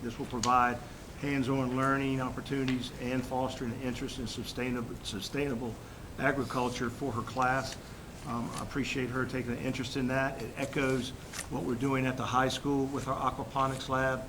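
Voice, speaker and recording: A man with a head cold giving a formal superintendent's report at a school board meeting, halting with fillers and restarts. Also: little pitch movement. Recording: noisy room recording with rumble.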